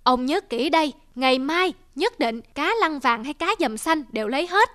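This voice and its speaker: High-pitched, bossy old wife. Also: female voice, lively intonation, normal speed, fairly bright tone. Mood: scolding. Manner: emphatic, nagging. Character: old fisherman's wife, practical, bossy and scolding. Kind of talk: cartoon folk tale dialogue. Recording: music under the voice.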